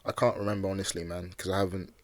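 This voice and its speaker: A young man co-hosting a podcast in casual chat, easygoing and hesitant, on a boom-arm mic in a small home room. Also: little pitch movement, very fast, a little hiss or hum. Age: young adult. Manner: easygoing, agreeable, hesitant with many ums. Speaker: podcast co-host. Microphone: studio mic on boom arm.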